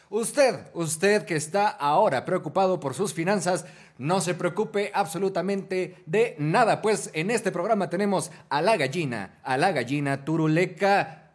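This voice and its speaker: Deadpan man hosting a spoof newscast. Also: high-pitched. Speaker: comedy podcast co-host. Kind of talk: mock news anchoring.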